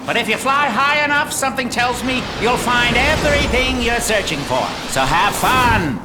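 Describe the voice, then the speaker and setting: Mischievous game imp, cartoonish, teasing, wildly swooping pitch, echoey, very noisy background. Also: male voice, expressive, moderate pace. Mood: gleeful, teasing. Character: mischievous male imp from another dimension, taunting, gleeful. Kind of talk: video game voice acting. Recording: echoey, very loud ambient noise.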